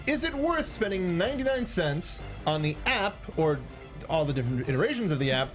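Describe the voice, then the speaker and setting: A young man hosting a movie discussion, talking casually with halting, repeated words into a close studio microphone. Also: high-pitched, big pitch swings, a bit bright, soft background music. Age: young adult. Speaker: show host. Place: small indoor podcast studio. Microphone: close studio microphone with foam cover, headset monitoring.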